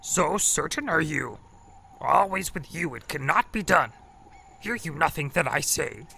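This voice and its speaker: A man playing an ancient, small alien Jedi master in an audio drama, wise and stern, with a gravelly strained voice and slow inverted phrasing. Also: a little high, expressive, sharp tone, slight background noise, full character voice. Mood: stern, insistent. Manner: inverted word order, slow deliberate teaching.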